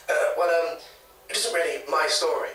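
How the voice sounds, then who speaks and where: A man with a British accent playing a hesitant, gossipy local retelling a ghost story in a halting, chatty way for an audio drama. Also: a little high, steady tone, bright, forceful tone, far from the mic. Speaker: interviewee, former hotel worker. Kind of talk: naturalistic audio drama interview. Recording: echoey taped interview, off mic.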